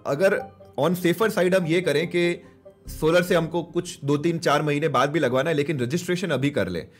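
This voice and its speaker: A man's voice: on the high side, moderate pace, faint music behind. A man in his thirties with an Indian accent, hosting a conversational interview on a close studio mic and mixing in English words. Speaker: host interviewing an expert. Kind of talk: conversational explainer with Hindi-English mix. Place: indoor studio. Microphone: close studio mic on boom arm.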